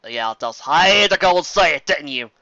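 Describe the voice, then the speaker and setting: A man's voice: fairly high pitch, animated, normal speed, bright, forceful tone, no room sound. A man voicing a plain-spoken, grumbling female country farm pony in a storybook reading, in dull audio. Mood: annoyed.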